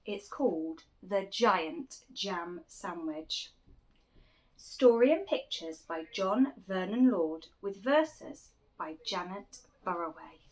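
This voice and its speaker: A woman reading a rhyming children's picture book aloud, expressively and with deliberate dramatic emphasis. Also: medium pitch, animated, a little mellow, poor audio. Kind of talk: animated children's story reading, rhyming verse.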